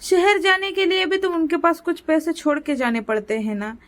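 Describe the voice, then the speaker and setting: A woman's voice: extremely high pitch, moderate pace, fairly bright tone, pitched above the performer's own voice. A woman voicing a poor, worried village father in a moral story, humble and pleading. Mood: anxious.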